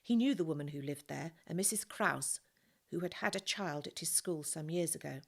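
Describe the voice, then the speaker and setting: Woman reader, low and gravelly, steady expressive storytelling. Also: moderate pace. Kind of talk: reading a novel aloud.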